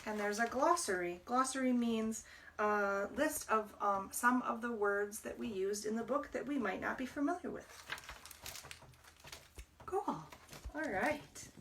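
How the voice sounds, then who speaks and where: Slow-paced woman reading to children, slightly distant. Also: fairly high pitch, noisy background. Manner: deliberate, explains words to young listeners. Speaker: library storytime reader. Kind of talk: children's picture book read-aloud.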